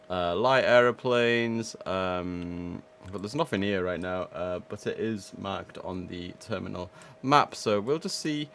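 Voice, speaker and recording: Low-voiced man streaming with sniffles. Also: a bit bright, a little hiss or hum. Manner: chatty and enthusiastic, many fillers and self-corrections. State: sniffly from hay fever. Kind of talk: casual live-stream commentary.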